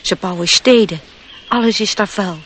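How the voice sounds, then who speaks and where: A performer acting an airy nature sprite in a radio play, with a middle-pitched voice and a knowing, lecturing manner. The sprite looks down on humankind and explains things with assurance. A faint noise sits in the recording. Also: normal speed, a bit bright, close to an everyday voice. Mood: scornful.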